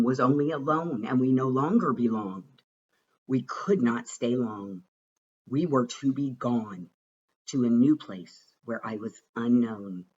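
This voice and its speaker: Husky, expressive man reading slowly. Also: mid-range voice, dull audio. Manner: rhythmic, expressive, deliberate delivery. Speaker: reader of a children's picture book. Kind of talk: rhyming storybook narration, read aloud.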